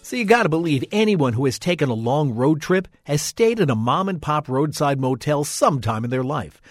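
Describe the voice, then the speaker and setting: Male radio announcer, brisk friendly intro, slightly higher pitch. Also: expressive, dry recording, soft background music.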